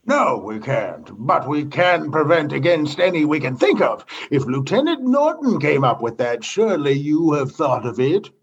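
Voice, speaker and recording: Gravelly, dramatic male space commodore, acted by a man. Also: fairly high pitch, very animated, moderate pace, hardly any pauses, lo-fi sound, exaggerated voice. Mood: challenging, pointed. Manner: pointed, condescending questioning. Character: skeptical, demanding commodore inspecting a space station. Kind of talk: comedy sci-fi radio acting.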